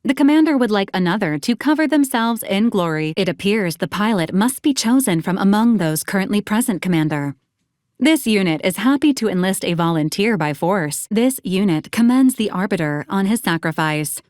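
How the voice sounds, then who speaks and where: A woman voicing a formal, precise and deadpan female robot assistant in a video game, polite and cheerfully morbid. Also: mid-range voice, normal speed, no room sound, clean studio sound, natural voice. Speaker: robot assistant to a military commander. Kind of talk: scripted video game voice lines, formal announcements.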